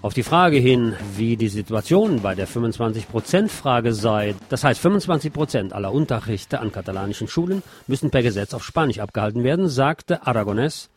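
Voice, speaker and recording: A man's voice: medium pitch, animated, brisk, hardly any pauses, slight background noise. A man presenting the news, reading scripted reports. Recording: music under the voice.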